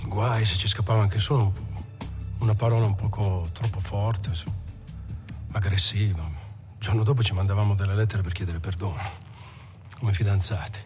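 An actor playing a proud, menacing gangster, speaking of his comrades in an intense, halting film monologue. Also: lowish voice, fairly even intonation, over music, close to an everyday voice. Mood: intense, nostalgic.

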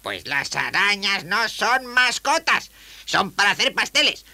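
A man voicing a bossy, grumbling old grandmother in a children's story, with a shrill, scolding manner. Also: very animated, unhurried, creaky, pushed and bright, dry recording, exaggerated voice. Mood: scornful, impatient.

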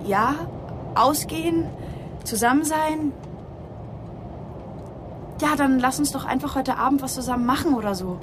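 A woman's voice: sits high, unhurried, long pauses. Brash teenage girl, car noise behind. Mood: flippant, teasing. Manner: slangy, teasing. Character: brash, flippant teenage girl with loose slangy talk. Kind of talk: radio drama acting. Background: vehicle ambience.

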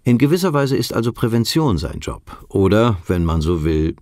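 An actor playing a measured, ironically earnest narrator in a satirical radio drama. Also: male voice, lowish voice, big pitch swings, a little mellow, no room sound, clean studio sound. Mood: dry, explanatory. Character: wry male narrator of a financial satire.

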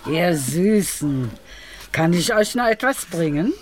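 Ditzy brothel maid for radio drama: sugary, cooing, swooping intonation. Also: female voice, lowish voice, expressive, takes it slowly, slight background noise. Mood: cooing, dim. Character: sugary, scatterbrained brothel serving woman. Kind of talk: German radio drama acting.

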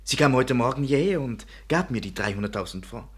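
Vain, husky young French painter. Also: male voice, mid-range voice, expressive, a little fast. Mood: smug, pompous. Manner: grandiose, flowery, self-important. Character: vain, scheming young male French painter, theatrically charming. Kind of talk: 1950s German radio play acting.

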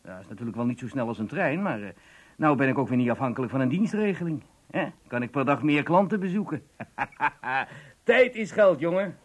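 Actor as a lively, husky, jovial businessman. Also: mid-range voice, brisk, natural voice. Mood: jovial, proud. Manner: hesitant, jovial, conversational. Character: jovial, chatty travelling businessman. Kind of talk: radio drama dialogue.

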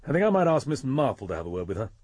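Radio detective role: English inspector, methodical, husky mid-pitched voice, close dry sound. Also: male voice, very animated, darkish tone, no room sound. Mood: musing, businesslike. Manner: measured, probing. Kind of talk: British radio drama acting.

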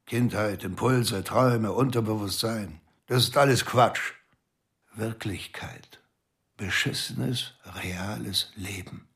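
A gruff police commissioner brushes people off bluntly in a radio play. He talks slowly in a low voice, cynical and dismissive. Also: a bit bright. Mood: scornful.

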